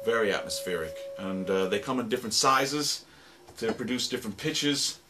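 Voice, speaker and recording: A man giving an informal, halting and rambling demonstration of sound-effect instruments, as a radio drama producer. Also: lowish voice, expressive, sharp tone. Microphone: stereo microphone. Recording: noisy recording with stereo microphone.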